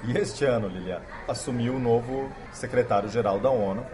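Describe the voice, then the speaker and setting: A man with a Brazilian Portuguese accent, asking interview questions in a steady, conversational way. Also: mid-range voice, normal speed, background noise.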